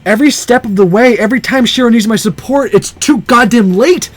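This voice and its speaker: A man's voice: very high voice, a little fast, a little hiss or hum, pitched above the performer's own voice. A man voicing a hot-headed teenage boy hero in a visual novel, anguished, indignant and defiant. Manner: emotional, indignant outbursts.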